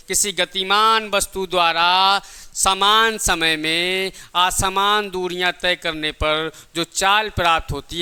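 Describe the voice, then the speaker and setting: A male teacher with a high, very bright, forceful voice that rings clear. He lectures step by step, often repeating himself. He poses questions to the students and then answers them himself. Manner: didactic, repeats phrases and asks rhetorical questions he answers himself. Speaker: physics teacher for exam preparation.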